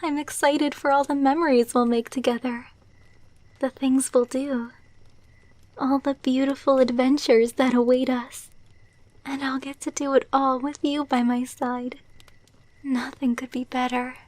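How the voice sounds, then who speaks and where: A woman voicing a tender, devoted vampire girlfriend in an ASMR roleplay, speaking softly and intimately, often near a whisper, close to the mic. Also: high-pitched, flat delivery, unhurried, no room sound. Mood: loving, soothing. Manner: soft, intimate, breathy delivery, often dropping to whispers. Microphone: close microphone, dry.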